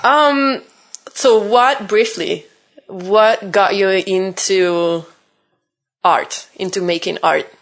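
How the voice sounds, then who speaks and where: A woman's voice: medium pitch, extremely slow. A woman acting as a guest interviewer on a podcast, asking short, playful questions in casual conversation.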